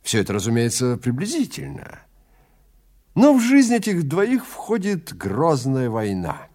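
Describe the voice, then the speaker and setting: A man playing a suave, menacing film director who speaks in an unhurried, theatrical, insinuating way with pauses between phrases. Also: mid-range voice, wildly swooping pitch, a little mellow, exaggerated voice. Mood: sly storytelling. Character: suave, menacing male film director, calmly toying with his prey.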